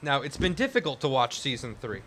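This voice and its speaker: A man of average pitch who co-hosts a casual podcast. He teases his partner, argues back readily and swears now and then. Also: big pitch swings, brisk, a bit bright. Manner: teasing, argumentative, swears. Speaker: podcast co-host and producer. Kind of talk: casual chat.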